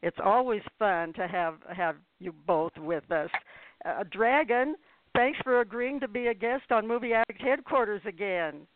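A woman hosting a radio interview show in a conversational style, with deliberate, halting phrasing. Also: mid-range voice, dry recording. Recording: phone-like narrow-band audio.

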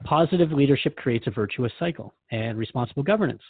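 A man's voice: medium pitch, animated, fast, slightly rough, no echo. A man hosting a radio show in an earnest, inquisitive, conversational manner, recorded dry and somewhat muffled.

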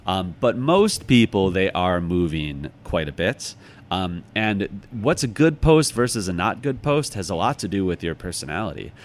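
A man's voice: low-pitched, sing-song, normal speed. An American man talking as an interview guest in a reflective, casual and musing way. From United States, Chicago. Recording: clearly noisy background.

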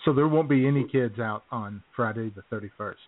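A male comedy radio co-host in relaxed conversation, tossing out jokes and asides. His voice sits in the middle of the male range. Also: medium pitch, fairly quick, narrow, tinny audio. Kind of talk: casual comic banter.

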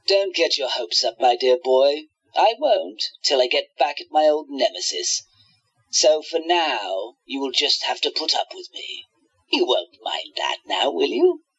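Radio-play villain: gloating alien madman, sharp forceful delivery, huge pitch swings, mock-sweet menace. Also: sing-song, gravelly, breathy edge, highs cut off, heavily acted. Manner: theatrical, mock-sweet taunting, drawn-out words.

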